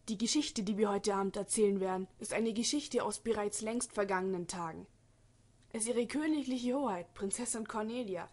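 A woman playing a pompous theatrical man, announcer and villain king, declaiming in an amateur audio drama with a husky voice. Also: fairly high pitch, natural voice.

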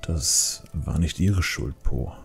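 A man voicing an easygoing but firm old panda brewer uncle in a fantasy audiobook, calm and plain-spoken. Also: very deep voice, slow, gravelly, soft background music, voice pushed down, exaggerated voice. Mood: calm, reproving. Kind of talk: acted fantasy audiobook dialogue.

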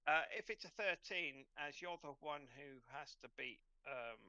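A man's voice: fairly high pitch, normal speed, bright, forceful tone, clear, resonant tone, dry recording, muffled recording. A man running an online tabletop roleplaying game as game master, narrating in a hesitant, conversational way.

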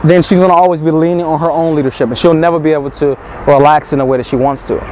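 A young man who coaches dating, talking in a low, measured way, sometimes slowing right down. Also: steady tone, darkish tone, rough recording. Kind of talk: street pickup talk and to-camera advice. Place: busy city square outdoors. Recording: street noise and traffic behind.

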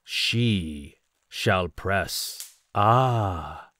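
Deep, slow, solemn gothic storyteller. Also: male voice, expressive, fairly bright tone, exaggerated voice. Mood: solemn, brooding. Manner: solemn, theatrical, measured. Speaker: narrator. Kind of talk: dramatic narration of gothic poetry in an audio drama.

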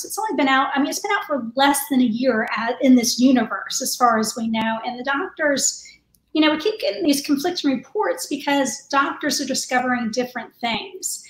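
A woman's voice: sits high, a bit bright. A woman in her forties co-hosting a casual video chat from home, chatty and laughing things off. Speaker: co-host. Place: home room with tiled wall. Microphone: video call webcam. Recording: echoey home room.

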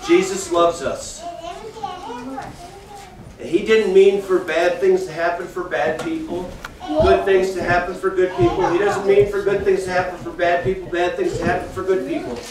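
A man's voice: sits high, moderate pace, recorded from a distance, a crowd in the background. Middle-aged man teaching kids in an echoey room. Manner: animated, repeats phrases, talks to kids directly. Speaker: children's church teacher. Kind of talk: children's Bible lesson. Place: large classroom with kids seated. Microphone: far camera, no mic seen.

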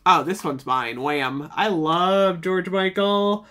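A middle-aged woman chatting casually to camera in an expressive, exclamatory, nostalgic way. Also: on the low side, slow. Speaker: vlogger showing a personal collection. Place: indoor room with shelves.

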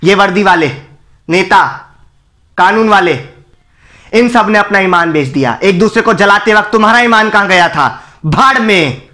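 A man playing a defiant condemned man who bitterly berates an apathetic crowd in a theatrical, scornful film-style monologue with emphatic pauses. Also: sits high, animated, pushed and bright, full character voice. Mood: stern, angry. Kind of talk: dramatic Hindi film monologue recital.

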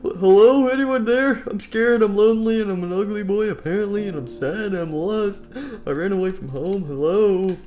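A man's voice: high-pitched, normal speed, dark tone, faint music behind, voice pushed up, lightly acted. A man voicing a hapless, melodramatic robot bunny man in an improvised game story. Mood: lost, forlorn.

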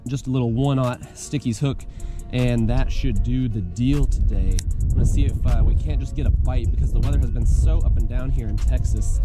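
A man with an American accent hosting a casual outdoor fishing vlog, chatting to viewers in colloquial, folksy slang. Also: medium pitch. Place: outdoors by a pond. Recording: music laid over speech. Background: music.

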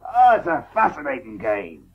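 An actor playing an ageing, proud and hearty celebrated cricketer in a radio drama. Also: male voice, a little high, huge pitch swings, moderate pace, husky, exaggerated voice. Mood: jovial. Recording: dull, restored 1980s radio tape.

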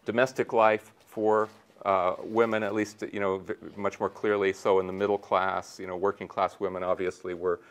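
Older guy on a lapel mic at a conference, lowish voice, lots of um and restarts, gesturing while he talks. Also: normal speed. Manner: animated storytelling with many restarts and fillers, gestures while talking. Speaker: sociology researcher on a conference panel. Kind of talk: academic conference talk. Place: lecture room in front of a projection screen.

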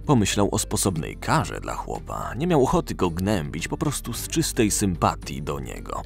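A man's voice: sits low, wildly swooping pitch, normal speed, creaky, soft background music. A man narrating an audio drama in a scripted storytelling style.

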